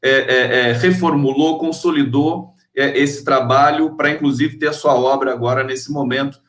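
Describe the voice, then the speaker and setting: A man's voice: mid-range voice, fairly even intonation, normal speed, fairly bright tone, echoey room. A man hosting a live-stream interview, effusive and full of fillers, reading out viewer comments. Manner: effusive, many fillers and restarts.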